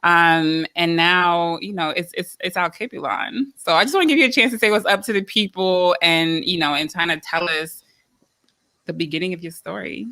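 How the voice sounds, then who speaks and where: A woman's voice: on the low side, steady tone, normal speed, sharp tone. A woman hosting a podcast, asking easygoing questions to draw out her guest in a casual interview. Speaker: podcast host.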